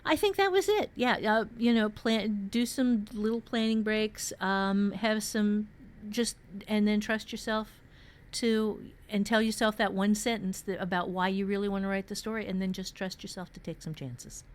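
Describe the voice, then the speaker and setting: A woman's voice: medium pitch, normal speed. A woman on a podcast giving advice in a casual, encouraging, conversational way.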